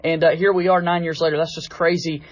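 A man's voice: fairly high pitch, level tone, fast talker, dry recording, highs cut off. A man hosting a sports podcast, speaking in a conversational broadcast style. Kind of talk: conversational interview and sponsor reads.

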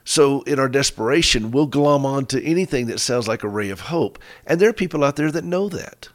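A middle-aged man with a slightly hoarse voice, hosting a caregiver radio show in a folksy, emphatic storytelling way. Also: medium pitch, lively intonation, fairly quick. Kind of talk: conversational radio talk. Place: rural home in the mountains.

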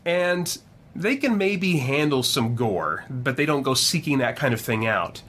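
A man's voice: mid-range voice, expressive, a little slow, a bit bright. A man hosting a podcast, interviewing casually with informal, rambling phrasing.

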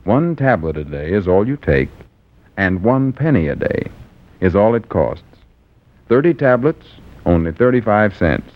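A man reading a 1940s radio commercial as announcer, measured, emphatic and persuasive. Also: on the low side. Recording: thin, narrow-band vintage radio recording.

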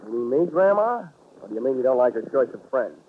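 A man's voice: mid-range voice, big pitch swings, fast, a little hiss or hum, natural voice. An American man playing a hard-boiled, wisecracking private investigator in a 1940s radio drama, with a wry, clipped delivery. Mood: dry, needling. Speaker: lead actor and narrator. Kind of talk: 1940s radio detective acting and first-person narration. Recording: muffled, phone-like 1940s radio recording, music behind.

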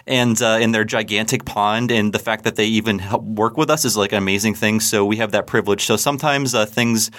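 A man's voice: fairly low pitch, a bit bright. A man co-hosting a casual podcast, chatty and rambling, with jokes and plenty of fillers. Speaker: podcast co-host.

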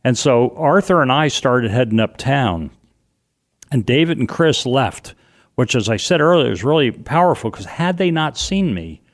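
A middle-aged man with a loud voice, telling a personal story as an interview guest, animated and vivid, acting out what people said. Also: mid-range voice, normal speed. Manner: vivid, animated retelling with acted-out quotes.

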